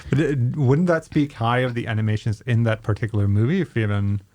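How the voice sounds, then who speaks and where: A man's voice: medium pitch, brisk, darkish tone, no room sound. A man in his thirties hosting a casual interview, speaking close to a studio microphone. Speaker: podcast host. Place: small podcast studio indoors. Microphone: close studio microphone on boom arm, with headphones.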